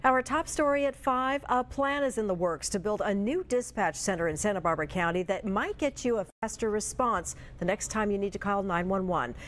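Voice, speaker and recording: A middle-aged American woman anchors a TV newscast. Her voice sits at a medium pitch, and she reads scripted news in a studio. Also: animated, fairly quick, few pauses.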